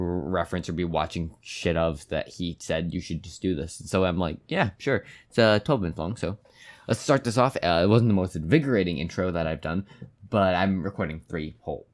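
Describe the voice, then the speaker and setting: Dude with a low voice, rambling casually thru a reaction vid intro. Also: male voice. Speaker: reaction video host.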